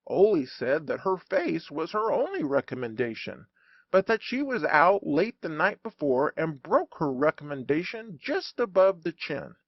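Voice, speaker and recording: A man narrating a humorous audiobook in an animated, wry storytelling manner. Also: on the high side, unhurried, no echo, muffled recording.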